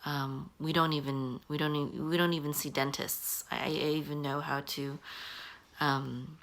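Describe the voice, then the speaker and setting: Low-voiced woman in her forties, halting. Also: flat delivery, a bit bright. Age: 40s. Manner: halting, many ums and restarts. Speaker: online business coach. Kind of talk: casual talking-head advice.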